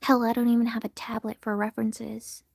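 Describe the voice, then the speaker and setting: A woman with a breathy, gravelly, half-whispered voice chats with her live-stream viewers while she draws, candid and easygoing. Her tone is mellow and soft rather than bright, and the sound is close and dry, caught on her phone. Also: a little high, little pitch movement, a little fast, no room sound. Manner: chatty and candid, talks while working. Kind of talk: casual livestream chat answering viewers.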